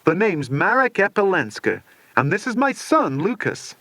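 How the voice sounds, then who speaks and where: A man's voice: high-pitched, very animated, moderate pace, bright, forceful tone, dry recording, pitched above the performer's own voice, exaggerated voice. A man voicing a scheming, commanding villain boss in a children's audiobook. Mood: falsely friendly. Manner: curt, commanding, smooth when sociable. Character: menacing, impatient male villain boss, falsely charming.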